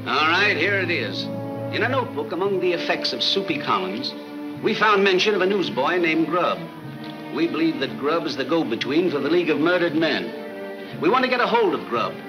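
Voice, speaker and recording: An actor playing a firm, businesslike police chief in a 1940s movie serial. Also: male voice, a little high, animated, moderate pace, lo-fi sound, lightly acted. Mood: firm, persuasive. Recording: old film soundtrack with music.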